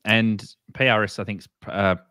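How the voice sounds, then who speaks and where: A man with an Australian accent hosting a casual explainer, speaking informally and haltingly, often repeating himself. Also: lowish voice, fairly even intonation, bright, forceful tone, clean tone, dry recording, studio quality. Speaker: host. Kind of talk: casual explainer with screen share.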